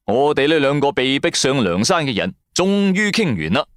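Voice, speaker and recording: A man with a Cantonese accent telling a scripted first-person story in a colloquial, expressive style. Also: medium pitch, moderate pace, clean tone, no room sound, clean studio sound. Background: music.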